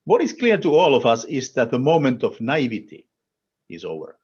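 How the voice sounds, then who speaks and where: A man from Finland with a Finnish accent delivers a formal policy speech as an official, in deliberate, emphatic short phrases. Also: mid-range voice, wildly swooping pitch, darkish tone, breathy edge, highs cut off. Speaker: European Commission official speaking at a conference.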